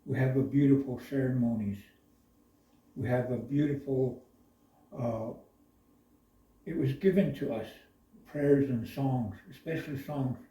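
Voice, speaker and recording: A man from the Navajo Nation speaking slowly and thoughtfully in a reflective discussion, pausing often with uhs. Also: mid-range voice, dark tone. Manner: slow, thoughtful, halting with many uhs. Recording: echoey room, voice from far off.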